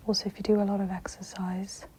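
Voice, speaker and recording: A woman whispers softly and breathily as she gently guides an ASMR meditation in a soothing, instructive manner. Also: medium pitch, little pitch movement, quick pace, a little hiss or hum.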